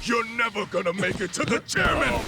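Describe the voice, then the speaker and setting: A man's voice: extremely high pitch, expressive, fairly quick, vocal fry, over music, exaggerated voice. A man playing a vicious, foul-mouthed gangster villain in an English video game dub, menacing and sneering. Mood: furious.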